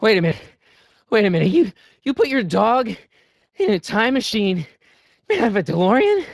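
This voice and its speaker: An actor playing an excitable, wisecracking American teenage boy from the eighties in a video game, with slangy, youthful delivery. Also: high-pitched, moderate pace, gravelly, no echo, clean studio sound, heavily acted. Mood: startled, incredulous. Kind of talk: animated video game voice lines.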